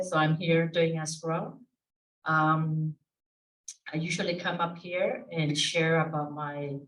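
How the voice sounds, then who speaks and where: A woman's voice: on the low side, a bit bright, recorded from a distance. Chatty woman from Peru, echoey room. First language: Spanish. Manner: chatty, with frequent fillers and restarts. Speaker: escrow officer speaking at an association meeting. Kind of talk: informal self-introduction to an audience. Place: association meeting room.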